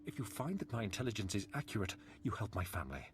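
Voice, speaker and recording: An actor playing a proud captive nobleman in a video game, speaking in a measured, formal, haughty manner. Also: fairly low pitch, sing-song, noisy background. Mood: bargaining. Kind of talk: scripted video game dialogue. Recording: clear music behind the voice.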